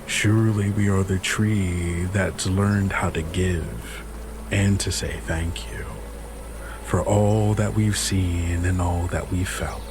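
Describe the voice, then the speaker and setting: A man's voice: deep voice, fairly even intonation, slow pace. A man narrating and hosting an actual-play fiction podcast as its game master. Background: music under the voice.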